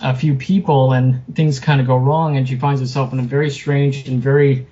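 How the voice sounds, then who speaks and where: A man being interviewed as a guest author, chatting casually in a halting, self-deprecating way. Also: medium pitch, little pitch movement, warm tone. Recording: muffled lo-fi sound with noticeable background noise.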